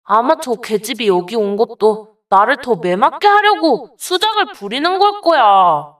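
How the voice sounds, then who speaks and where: A woman's voice: fairly high pitch, lively intonation, measured pace, a bit bright, far from the mic. A woman voicing a young male bonded servant in a folktale, wary and timid but given to grumbling. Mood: suspicious musing. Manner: deferential polite speech, wary. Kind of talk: dramatized folktale dialogue.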